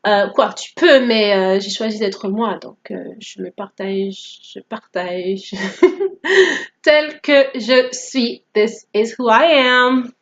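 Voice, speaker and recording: A woman's voice: fairly high pitch, slow, highs cut off. A woman in her thirties from Guadeloupe, whose first language is English, telling her story in a playful, joking vlog. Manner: playful, joking, code-switching. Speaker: vlogger. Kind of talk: casual testimony vlog.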